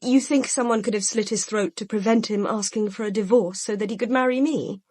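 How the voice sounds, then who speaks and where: An actress playing a proud, wounded mistress in a radio drama, guarded and bitter yet controlled. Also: female voice, mid-range voice, a little fast, a little mellow, dry recording, studio quality, lightly acted.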